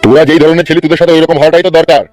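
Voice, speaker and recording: Man acting a stern, gruff old father, fast-talking. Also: on the high side, flat delivery, a little mellow, faint music behind, lightly acted. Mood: stern, scolding. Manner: gruff, scolding, commanding. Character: stern scolding old father of a grown son. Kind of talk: Bengali comedy cartoon dialogue.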